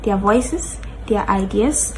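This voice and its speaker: A woman's voice: mid-range voice, slow pace, dark tone, a little hiss or hum, rough recording. A young woman from Ghana speaks steadily and earnestly in a personal statement. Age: young adult. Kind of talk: short recorded personal statement.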